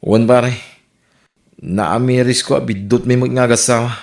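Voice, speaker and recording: A man's voice: medium pitch, steady tone, unhurried, gravelly, a little mellow. A man playing a sheepish, subdued friend in an Ilocano radio comedy drama, speaking with an Ilocano accent.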